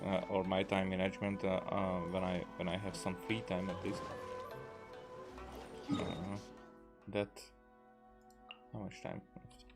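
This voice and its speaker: Low-voiced man, halting game commentary over music. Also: completely flat delivery. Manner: hesitant, halting, thinking aloud. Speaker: gaming video creator. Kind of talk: casual gameplay commentary. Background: video game music.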